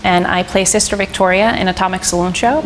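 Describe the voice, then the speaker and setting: A woman, a stunt performer and actress, speaking frankly and lively in a documentary interview. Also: fairly low pitch, quick pace, rough recording. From Iceland. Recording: music playing under the voice.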